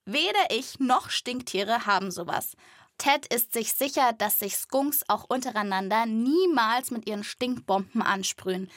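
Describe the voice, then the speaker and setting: Dry, higher-voiced woman narrating for children. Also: fairly bright tone, no echo. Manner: vivid, playful storytelling. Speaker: host of a children's wildlife podcast. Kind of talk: scripted narration addressed to children.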